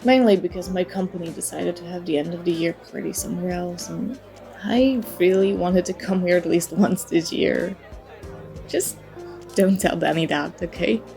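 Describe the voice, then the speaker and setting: A woman's voice: on the low side, normal speed, gravelly. A woman playing a flirty, charming stranger at a party bar in an ASMR roleplay, teasing and coy as she talks. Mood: friendly, chatty, then playful confiding. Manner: playful, teasing, coy, mock-apologetic. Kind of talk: ASMR roleplay, acted one-sided conversation. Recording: soft music and party chatter behind. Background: music and crowd murmur of a party bar.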